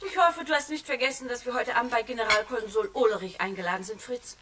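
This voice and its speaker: A woman's voice: on the high side, fast talker, a bit bright, natural voice. A woman playing a haughty, easily offended society wife in a 1960s German radio play, reproachful and imperious. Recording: distant, slightly noisy old vinyl record.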